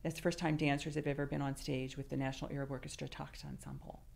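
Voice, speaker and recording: Woman, 60s, podcast host; deep, slightly fried tone, relaxed anecdotal chat. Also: quick pace, vocal fry. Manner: chatty, anecdotal storyteller. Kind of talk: casual interview chat.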